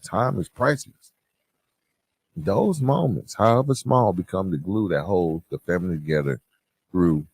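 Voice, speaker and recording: A man's voice: lowish voice, darkish tone, husky, no room sound. A man hosting a podcast, giving motivational advice in an earnest, conversational monologue, repeating phrases for emphasis. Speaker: podcast host. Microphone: close podcast microphone.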